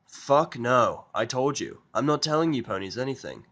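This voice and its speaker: A man's voice: mid-range voice, expressive, normal speed, a bit bright, pitched above the performer's own voice. Male reader as irritable human man, blunt, sarcastic, impatient, foul-mouthed, fan audio drama. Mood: blunt, dismissive.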